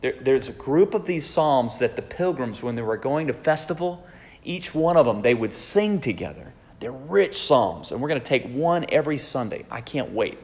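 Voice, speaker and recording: A man's voice: medium pitch, expressive, moderate pace, darkish tone, big-room sound. A pastor in his forties giving a conversational teaching sermon, often checking in with the congregation. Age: 40s. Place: church sanctuary.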